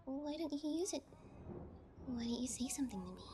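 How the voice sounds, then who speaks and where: A woman's voice: high voice, lively intonation, brisk, noisy background. A woman voicing a playful, sociopathic young female fiend kidnapper in an ASMR roleplay, with sugary taunts and theatrical pouting. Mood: teasing, threatening.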